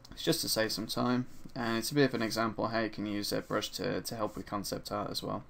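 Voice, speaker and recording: A man with a slightly hoarse voice from a sore throat narrates a screen-recorded 3D sculpting tutorial in a relaxed, chatty way, with frequent ums and uhs. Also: fairly low pitch, brisk, a little hiss or hum. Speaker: 3D art instructor. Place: at his desk, voice-over for a screen recording.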